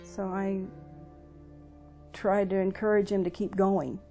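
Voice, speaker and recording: An older American woman recalling personal memories in an interview, speaking in a plain, reflective, halting way. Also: medium pitch, level tone, dark tone. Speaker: interviewee, veteran's wife. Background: music under the voice.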